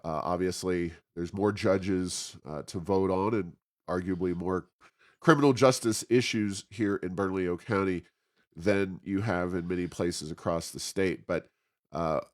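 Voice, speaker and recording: An American man hosting a conversational interview, speaking in a halting, roundabout way with many hesitations. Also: low voice. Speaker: interview host.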